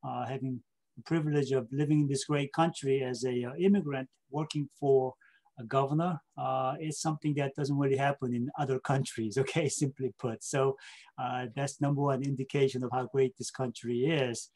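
A man's voice: mid-range voice, fairly even intonation, moderate pace, darkish tone. A middle-aged man from South Korea, answering interview questions with anecdotes and explaining step by step, often checking in with short tag questions. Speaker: interview guest, state government official.

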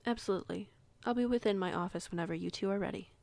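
A woman playing a courteous, gently authoritative werewolf pack leader in an audio roleplay, with formal, measured wording. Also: medium pitch, vocal fry, no room sound, lightly acted.

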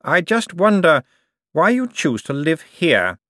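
Smug, moneyed male bank director for an audiobook, read by a male narrator: suave menace, pitched up, very lively intonation. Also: dry recording, clean studio sound, heavily acted. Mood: veiled threat. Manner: suave, condescending, drawn-out hints. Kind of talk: audiobook character dialogue.

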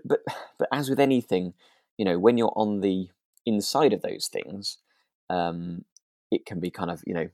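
A man's voice: low-pitched, darkish tone, dry recording, clean studio sound. A man co-hosting a casual podcast discussion, speaking in a hesitant, rambling, tentative way. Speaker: podcast co-host. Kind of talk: casual discussion of a radio drama.

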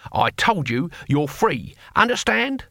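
A scrawny old barbarian warrior for an audiobook. The voice is pitched up high, cackling and sardonic. He speaks bluntly in rough, colloquial words, recorded dry and close. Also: sing-song, slow, pushed and bright, no echo, full character voice. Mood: exasperated, threatening. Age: older. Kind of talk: audiobook character voice.

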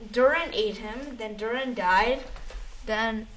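A woman's voice: a little high, takes it slowly, background noise. A woman chatting casually, retelling things in a rambling, slangy, jokey way. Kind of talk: casual chat.

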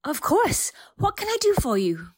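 A woman's voice: high-pitched, huge pitch swings, normal speed. An actress playing a polite, curious female AI turned human in an audio drama, courteous and formal.

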